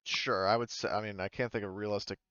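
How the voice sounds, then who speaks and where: Man, game master, smooth low voice, tentative, halting, casual improvised narration, slightly dull dry audio. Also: steady tone, a bit bright, no echo. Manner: halting, tentative, searching for words.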